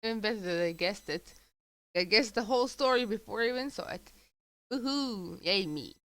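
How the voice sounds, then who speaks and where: A woman's voice: mid-range voice, expressive, moderate pace, fairly bright tone, no echo. A woman streaming a game, giving casual reaction commentary and musing aloud as she pieces things together.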